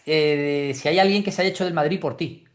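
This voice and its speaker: A man's voice: a little high, brisk. A man with a Spain Spanish accent hosting a casual football chat, talking informally with plenty of slang. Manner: informal, chatty, peppers talk with slang. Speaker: podcast host and interviewer.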